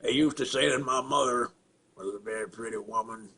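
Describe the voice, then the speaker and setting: Gravelly, slow Southern simpleton. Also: male voice, sharp tone. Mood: halting, subdued. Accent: rural Southern US. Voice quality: low gravelly rasp with throaty grunts. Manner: halting, repetitive, plainspoken, folksy. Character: slow, simple-minded Southern man confessing to a killing. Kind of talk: dramatic film monologue.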